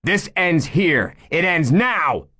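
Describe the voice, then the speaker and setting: A man voicing a steady male police chief who gives firm, level orders. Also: mid-range voice, moderate pace, pitched above the performer's own voice, lightly acted. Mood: commanding.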